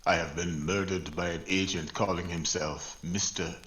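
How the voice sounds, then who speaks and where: A man playing a weary, sardonic older Portuguese police chief in a 1940s-style radio drama, speaking deliberately and with dry scorn. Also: low voice, fairly even intonation, fairly bright tone, low-quality recording. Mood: dry, menacing. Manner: slow, deliberate, dryly scornful.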